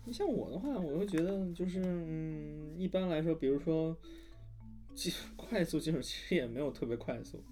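A man's voice: a little high, animated, normal speed, mellow, soft background music. A man chatting casually as a voice actor guest, talkative, with frequent short interjections and repeated words. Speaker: voice actor guest on an audio drama chat. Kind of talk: casual chat.

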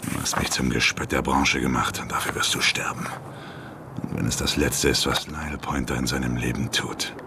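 A man's voice: a bass voice, steady tone, moderate pace, background noise. An actor playing a crude, vengeful hitman in a German radio drama, muttering his threats. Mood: vicious, threatening. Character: menacing male hitman, vengeful, crude, slick when lying.

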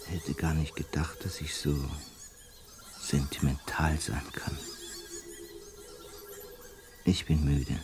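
An actor playing a lonely, weary older lodger in a German radio play, melancholic and halting, with a husky voice that often sinks toward a whisper. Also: male voice, a bass voice, background noise, exaggerated voice.

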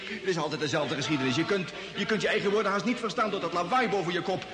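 A man playing an excitable, bewildered young space traveller in an old radio drama, stammering and indignant. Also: sits high, fast, crowd noise, lightly acted. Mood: irritated. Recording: echoey, noisy old radio play recording.

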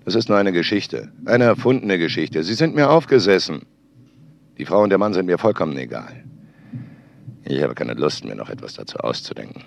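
An actor playing a stern, aloof storyteller who narrates dramatically in short, deliberate sentences on an old home tape. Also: male voice, very animated, full character voice. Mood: dismissive. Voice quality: very deep, gravelly.

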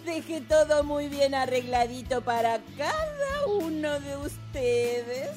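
A woman's voice: high-pitched, lively intonation, takes it slowly, over loud music. A woman playing a sly, gleeful older Argentine mother in a radio drama, with a theatrical, mock-sweet manner. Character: sly, gleeful older mother reading her mocking farewell letter.

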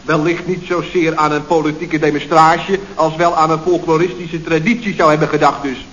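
An actor playing a cheeky, talkative activist in a radio comedy serial, speaking in a wordy, mock-earnest way. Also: male voice, fairly high pitch, level tone, a little fast, fairly bright tone, muffled recording, natural voice. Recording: noisy old radio recording.

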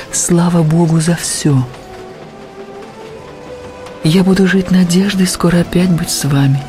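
An actress playing a devout grand duchess and abbess, tender and sorrowing, reading her letter to her sisters in an audio play. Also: low-pitched. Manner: tender, prayerful, grieving, at times breathy and hushed.

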